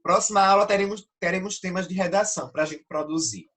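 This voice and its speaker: Nasal Brazilian teacher with a stuffy voice. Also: male voice, high-pitched, brisk, fairly bright tone. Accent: Brazilian Portuguese. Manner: explains step by step, often checks with "tá?". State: nasal from allergic rhinitis. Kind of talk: online class lecture.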